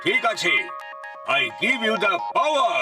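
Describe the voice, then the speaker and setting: A man voicing a slow, solemn alien hat. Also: high voice, lively intonation, far from the mic, over loud music, exaggerated voice. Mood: solemn, commanding. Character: talking alien hat that grants magic powers. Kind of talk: cartoon voice acting.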